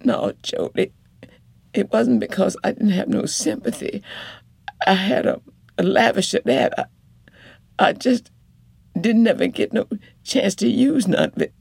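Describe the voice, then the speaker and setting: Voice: medium pitch, unhurried, gravelly, long pauses, darkish tone, slightly rough, no room sound, pitched above the performer's own voice, heavily acted. A reader voicing a defiant wife. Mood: earnest. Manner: earnest, rising to fierce reproach. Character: young rural wife, hurt but defiant, pleading.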